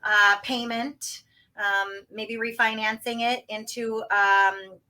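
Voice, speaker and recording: A woman talks through home financing as a podcast guest. Her voice is high and very bright. She explains in halting steps, with plenty of ums and you-knows. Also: steady tone, low-quality recording. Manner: hesitant, full of fillers, explaining step by step. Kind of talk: casual podcast interview.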